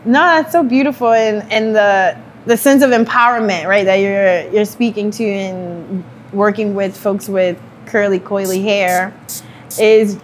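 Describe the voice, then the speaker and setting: A woman hosts a hair-care demo, explaining products in a friendly, chatty way with the odd "um." Her voice sits at a middle pitch, with light noise underneath. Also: unhurried. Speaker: brand presenter. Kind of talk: casual chat and product explanation.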